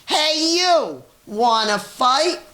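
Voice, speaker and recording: A grumpy little lady bug from a children's storybook, put on by a woman reader. She is high-pitched and loud, taunting everyone in a bossy, dismissive way and spoiling for a fight. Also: animated, extremely slow, full character voice. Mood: pugnacious. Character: grouchy, pugnacious little female ladybug picking fights. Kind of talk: children's storybook read-aloud.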